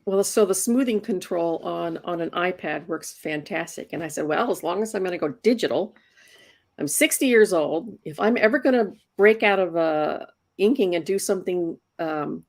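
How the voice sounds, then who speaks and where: A woman's voice: fairly low pitch, expressive, normal speed. A woman in her sixties, a comics inker, talking casually in an interview and often restarting her words. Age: 60s. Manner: chatty, repeats words when restarting.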